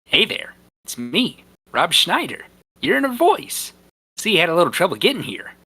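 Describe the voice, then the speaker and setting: A man voicing a short, goofy, squeaky man in a cartoon fan story. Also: huge pitch swings, moderate pace, pushed and bright, breathy edge, exaggerated voice. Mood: cheery.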